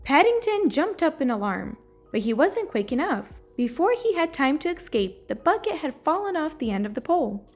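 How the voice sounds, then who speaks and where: A woman reading a children's story aloud in an expressive, storytelling manner. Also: high voice, normal speed. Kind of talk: children's read-aloud narration. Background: soft music.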